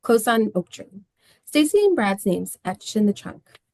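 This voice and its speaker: A woman's voice: mid-range voice, animated, normal speed, warm tone, no echo. A woman narrating the stage directions of a screenplay at a table read.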